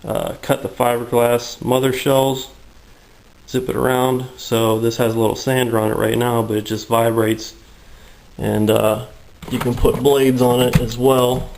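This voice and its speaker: A man giving a casual tour of his home workshop, rambling through his explanations with lots of fillers, out of breath and getting raspy in the heat. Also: medium pitch, flat delivery, a little slow, a little mellow, a little hiss or hum, poor audio. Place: hot, humid home workshop.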